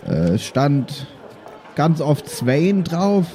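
Mellow-voiced man, hesitant role-player. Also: fairly high pitch, very animated, very slow. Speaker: player in a tabletop audio game. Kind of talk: improvised casual role-play.

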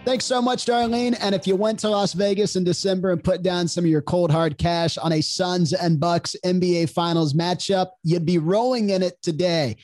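A man's voice: sits high, steady tone, normal speed, few pauses, darkish tone, no echo, soft background music. A man co-hosting a casual sports podcast, with a nasal voice that sounds stuffed up from a cold, speaking in an enthusiastic, upbeat way. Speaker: podcast co-host.

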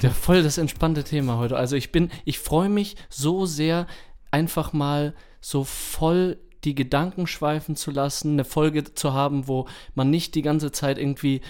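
A man's voice: fairly high pitch, fairly even intonation, a little slow, a little mellow. Rambling, slangy male podcast host. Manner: rambling, colloquial with English slang. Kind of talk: casual chat.

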